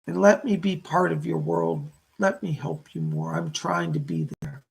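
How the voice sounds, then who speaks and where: A weak, remorseful dead man's spirit played by a male medium talks in a heavily creaking, breathy voice. He is halting, earnest and tender, straining to come through in a séance. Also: on the high side, wildly swooping pitch, exaggerated voice.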